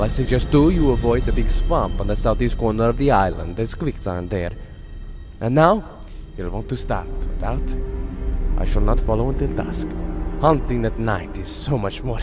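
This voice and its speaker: A man's voice: medium pitch, close to an everyday voice. A man playing a genteel, menacing aristocratic general in a radio drama, suave and theatrical as he savors his words. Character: genteel, menacing male aristocrat general who hunts men.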